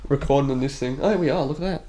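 A man's voice: medium pitch, rapid-fire, dark tone, a little hiss or hum. A young man co-hosting a casual podcast, congested, rambling with lots of "like" and swearing. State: congested, snotty. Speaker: podcast co-host. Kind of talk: casual chat.

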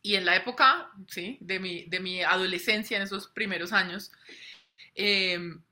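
A woman guest on a podcast, speaking by video call, earnest and often checking in with a quick question. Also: mid-range voice, pushed and bright. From Colombia. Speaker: guest, personal branding coach. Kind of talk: interview storytelling. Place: home in Colombia.

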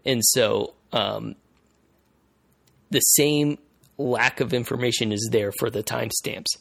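A male podcast host explaining things in casual conversation, haltingly, repeating phrases as he thinks aloud. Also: medium pitch, creaky, fairly bright tone, dry recording, clean studio sound.

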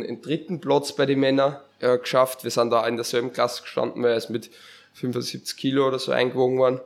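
This is a young man with an Austrian accent hosting a casual solo podcast. He sounds stuffed up with a cold, and his medium-pitched voice stays very even. His phrasing is colloquial and meandering, with a hesitant flow. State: sick with a head cold, congested. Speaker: podcast host.

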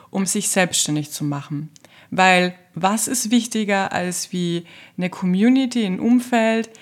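A woman from Austria, co-hosting a podcast and chatting in a friendly, upbeat way about her path. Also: mid-range voice. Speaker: podcast co-host. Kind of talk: casual podcast chat.

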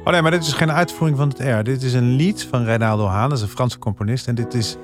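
A man's voice: mid-range voice, fast talker. A man with a Netherlands Dutch accent chatting casually as a panelist on a podcast. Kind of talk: casual chat. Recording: music bed behind the voice.

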